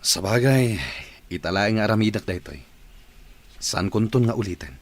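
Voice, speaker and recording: Husky low-voiced village man played by an actor. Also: normal speed, dry recording, close to an everyday voice. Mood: casual, reluctant. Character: village married man, drinking buddy. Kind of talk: radio drama acting.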